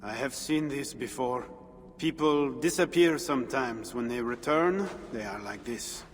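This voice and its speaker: An actor playing a worldly, teasing spymaster in a video game cutscene, speaking in a wry, knowing way. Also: male voice, medium pitch, expressive, a little slow, slight background noise, lightly acted. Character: worldly, sardonic Frankish spymaster. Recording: game audio with reverb and music.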